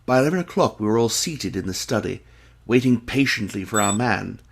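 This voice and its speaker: A man acting an earnest Victorian gentleman, a loyal sidekick who narrates an audio drama in formal style. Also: fairly low pitch, lively intonation, fairly quick, vocal fry. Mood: measured, narrating.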